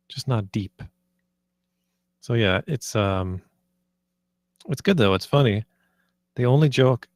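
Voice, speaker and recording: A man giving polite, casual feedback, talking in a measured and tentative way. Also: lowish voice, no room sound, clean studio sound. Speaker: voice actor giving script feedback.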